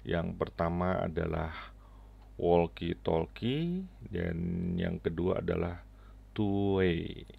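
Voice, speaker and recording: A middle-aged man giving an unscripted app tutorial in halting short phrases, recorded on a headset with dull sound. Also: low-pitched, vocal fry, breathy edge. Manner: halting, short phrases with frequent tag words. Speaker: tutorial presenter.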